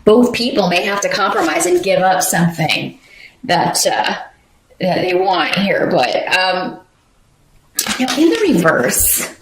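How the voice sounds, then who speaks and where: A woman's voice: lowish voice, roomy sound, slight background noise, low-quality recording. A woman giving a casual tarot reading, rambling with many fillers and restarts. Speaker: tarot reader.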